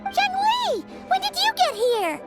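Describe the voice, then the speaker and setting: A woman voicing a small, childlike, excitable fairy companion in a video game, with a squeaky voice and an eager, naive way of talking. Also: slow pace, exaggerated voice. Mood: surprised. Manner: speaks of herself in third person, eager. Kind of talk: video game character acting. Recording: loud music over the voice.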